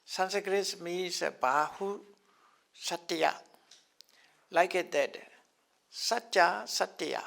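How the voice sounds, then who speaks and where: Slow gravelly older man teaching. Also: sits high, a bit bright. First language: not English. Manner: halting, repeats terms, chuckles often. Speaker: Buddhist teacher. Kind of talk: explaining scriptural terms to a class.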